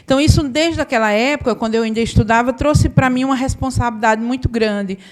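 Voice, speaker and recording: A woman's voice: on the high side, fast talker, a little mellow. Woman telling her story. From Paraíba, Brazil. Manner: conversational, often asks the audience "né?". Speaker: accountant and business owner giving a talk. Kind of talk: live storytelling talk to an audience.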